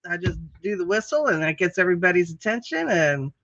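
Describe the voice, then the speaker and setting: A woman's voice: on the low side, big pitch swings, brisk, fairly bright tone, no echo, dull audio. A woman co-hosting a casual online chat show, talking loosely, laughing often and cursing casually. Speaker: co-host. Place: home with animals behind her.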